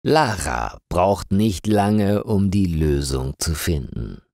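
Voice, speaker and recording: A man narrating a scripted story for language learners, reading slowly and carefully articulated with lively intonation. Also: deep voice, no echo, clean studio sound.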